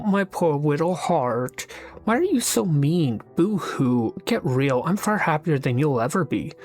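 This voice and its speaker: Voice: medium pitch, lightly acted. Narrator doing a scornful bitter dramatic type, drags out the key words, faint music behind. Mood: mock pity. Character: scornful, bitter speaker who boasts of being unkillable.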